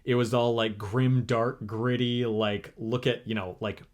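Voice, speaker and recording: A young man in a casual podcast conversation who speaks at a mid pitch. He rambles, leans on filler words and circles back to restart his sentences. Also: steady tone, measured pace. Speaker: podcast co-host. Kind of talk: casual chat.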